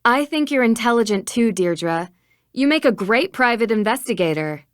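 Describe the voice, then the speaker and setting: A woman's voice: on the high side, lively intonation, normal speed, no room sound, studio quality, lightly acted. An actress playing a confident, curious young female detective with a friendly, teasing manner in a video game.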